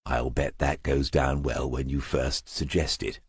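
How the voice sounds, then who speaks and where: A man's voice: a bass voice, level tone, a little slow, slightly rough, exaggerated voice. A man voicing a shrewd retired detective in a crime audiobook, with a dry, understated, probing manner. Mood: wry.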